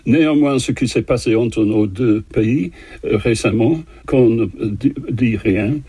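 Older man, husky, halting French. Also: medium pitch, mellow, no room sound. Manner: halting, many fillers and restarts. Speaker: composer guest. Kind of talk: interview answers in a second language.

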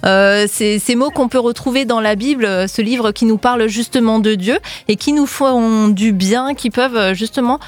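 A woman's voice: a little high, normal speed, hardly any pauses. A woman co-hosting a morning radio show, friendly and often hesitating with fillers, in a studio. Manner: friendly, hesitant with many "euh". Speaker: radio host.

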